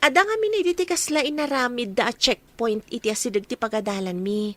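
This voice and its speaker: A woman's voice: on the high side, fairly quick, slight background noise, natural voice. A woman with an Ilocano accent playing a wistful, reserved young daughter in a radio drama. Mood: confiding.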